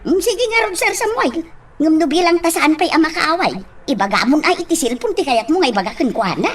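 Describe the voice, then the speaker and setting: A woman acting as an excitable, cheeky but deferential helper talking to her boss in an Ilocano radio drama. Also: sits high, a little fast. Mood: obliging.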